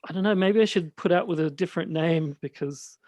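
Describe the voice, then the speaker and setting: A man with a high, light voice hosting a casual podcast and directing, speaking in a hesitant, halting way. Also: a little mellow, no room sound. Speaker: host and radio play director.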